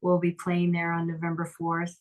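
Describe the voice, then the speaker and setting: A woman's voice: fairly low pitch, completely flat delivery, normal speed. A woman musician chatting casually as an interview guest, upbeat and talkative with frequent restarts. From Lehigh Valley, Pennsylvania.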